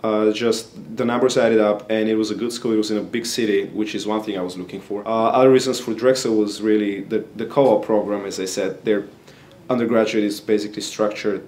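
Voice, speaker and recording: Earnest young man from Bulgaria, low voice. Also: darkish tone. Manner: earnest, explanatory, with frequent uh fillers. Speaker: graduate student. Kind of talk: interview answers.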